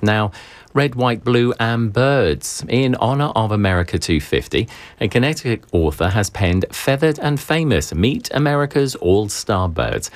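Deep-voiced male public radio host. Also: no echo.

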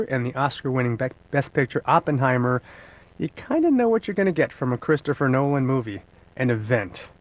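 An American man hosting on radio, reading a scripted introduction to an arts segment. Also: fairly high pitch. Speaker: radio host.

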